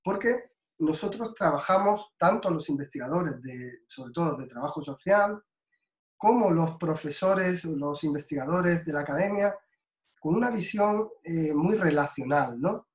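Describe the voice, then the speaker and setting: Man from Spain, guest lecturer, slightly high, speaks slowly with fillers; echoey room over a video call. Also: animated. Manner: slow and deliberate, many fillers and restarts.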